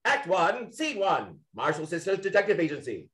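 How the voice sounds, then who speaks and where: A man's voice: sits high, big pitch swings, normal speed, fairly bright tone. A man announcing a mystery play in theatrical scripted narration.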